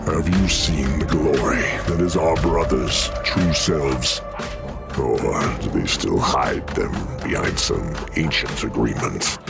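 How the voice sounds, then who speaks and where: An actor playing a menacing, commanding warrior in an audio drama, slow, taunting and grandiose. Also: male voice, a bass voice, creaky, heavily acted. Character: menacing, commanding male champion warrior, cold and enraged. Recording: loud music under the voice.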